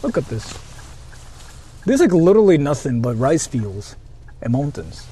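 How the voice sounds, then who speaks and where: A man's voice: on the high side, sing-song, measured pace, breathy edge. A man livestreaming from a moving car, chatting casually in a rambling, repetitive way. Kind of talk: casual livestream chat while driving. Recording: loud car and road noise. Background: vehicle driving noise.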